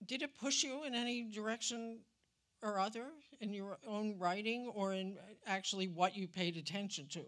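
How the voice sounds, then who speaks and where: An older woman, in her sixties or above, moderating a panel informally and haltingly on a handheld mic. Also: medium pitch, fairly bright tone. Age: 60s or older. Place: auditorium stage. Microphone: handheld microphone.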